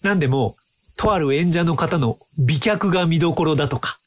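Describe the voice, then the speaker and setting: A man's voice: on the high side, moderate pace, a little hiss or hum, lightly acted. Man acting eager young-sounding time researcher from the future. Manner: polite, eager, chatty. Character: cheerful male time-travel researcher from the future. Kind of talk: radio drama acting.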